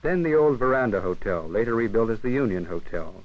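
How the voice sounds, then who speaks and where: An American man narrating a 1950s educational film in a measured, formal, literary manner. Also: fairly low pitch, lively intonation. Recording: dull 1958 film soundtrack, radio-like.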